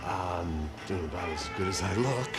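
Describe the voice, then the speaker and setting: Deep-voiced, world-weary ex-cop antihero. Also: male voice, moderate pace. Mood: dry, dismissive. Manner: deadpan, sardonic. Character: world-weary middle-aged male ex-cop antihero, bitter and sardonic. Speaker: narrator and lead character. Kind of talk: noir game narration and cutscene dialogue. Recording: loud music bed under the voice.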